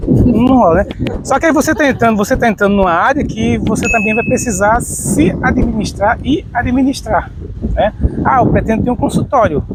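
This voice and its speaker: A man's voice: very high-pitched, fairly quick, very noisy. A middle-aged Brazilian man hosting a podcast, chatting casually and rambling a bit as he repeats himself. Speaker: podcast host. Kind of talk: casual interview chat.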